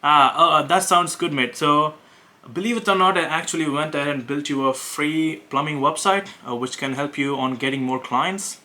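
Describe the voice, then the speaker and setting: Indian-accented man with a bright voice. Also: normal speed. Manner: hesitant, many fillers and restarts, upbeat sales pitch. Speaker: web design agency owner making cold calls. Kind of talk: casual vlog talk and sales calls.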